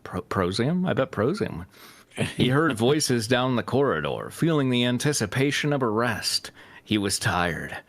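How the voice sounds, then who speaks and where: A man's voice: mid-range voice, a bit bright, no room sound. A man narrating an amateur story read-aloud in an amused, casual manner. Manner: amused, reacting to the text. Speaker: narrator of a fanfiction reading show.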